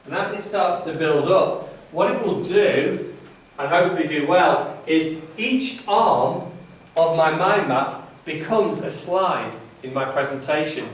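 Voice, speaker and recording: A man's voice: on the high side, lively intonation, a little slow. A man leading a teacher training session, explaining step by step how an app turns a mind map into a presentation. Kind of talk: classroom demonstration. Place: school classroom. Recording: echoey room, mic far away.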